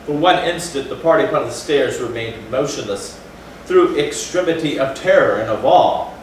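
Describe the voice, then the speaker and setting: A man's voice: medium pitch, lively intonation, moderate pace, close to an everyday voice. A man playing a grim narrator in a grave, theatrical stage reading of Gothic horror. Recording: echoey hall, distant mic, faint noise.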